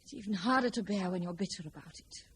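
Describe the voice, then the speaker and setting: An actress playing a brave, gentle young wife and mother, soft-spoken and wistful, in an old-time radio drama. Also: mid-range voice, quick pace, lightly acted. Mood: tender, wistful. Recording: noisy old radio serial recording.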